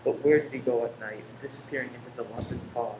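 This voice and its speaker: Story narrator over clear noise. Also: mid-range voice, fairly even intonation, fast. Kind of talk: dramatic scripted story narration.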